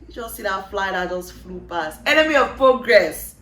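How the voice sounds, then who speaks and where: A woman's voice: mid-range voice, lively intonation, moderate pace, fairly bright tone, reverberant. A young woman from Cameroon with a Cameroonian English accent that drifts toward British, chatting to camera in a bubbly, playful way and laughing often. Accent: Cameroonian English, shifting toward British. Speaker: vlogger and voiceover artist. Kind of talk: casual chat to camera.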